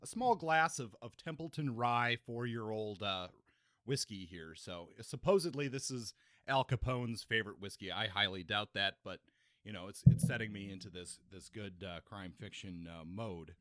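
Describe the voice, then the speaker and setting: Man, podcast co-host, casual book chat, bright forceful voice, dry close sound. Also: lowish voice, moderate pace, no echo.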